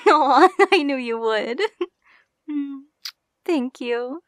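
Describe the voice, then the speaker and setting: A woman's voice: sits high, animated, drawn-out words, dry recording, clean studio sound, exaggerated voice. A woman playing an affectionate, playful young bride in an intimate ASMR roleplay, speaking tenderly with a giggly, teasing manner. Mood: giddy, teasing.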